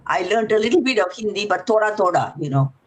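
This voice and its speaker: Halting man, native Tamil speaker. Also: high-pitched, lively intonation. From Singapore. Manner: halting, with many fillers. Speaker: audience participant. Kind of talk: casual chat. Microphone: webinar video call.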